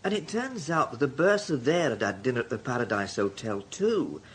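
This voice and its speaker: An actor playing a probing, insinuating newspaper reporter in radio drama dialogue. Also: male voice, mid-range voice, sing-song, normal speed, a little hiss or hum, natural voice, rough recording. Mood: sly, probing. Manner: insinuating, conversational.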